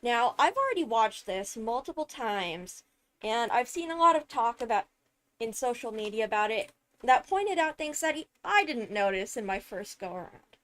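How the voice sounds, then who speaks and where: A woman streamer giving casual, emphatic analysis of a game video, halting as she thinks through points. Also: a little high, very animated, fairly bright tone. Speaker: streamer, host.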